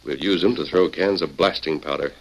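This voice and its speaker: Very deep-voiced western hero. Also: male voice. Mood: calm, resolute. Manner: measured, instructive, commanding. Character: calm, authoritative western hero, adult male leader. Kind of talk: old radio western acting.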